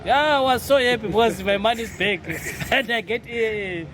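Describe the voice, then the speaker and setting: A man's voice: very high-pitched, normal speed, a bit bright. South african guy talking about betting outside, mixes sesotho and english, traffic noise all around. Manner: switches between Sesotho and English, chatty. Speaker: interviewee. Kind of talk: casual street interview.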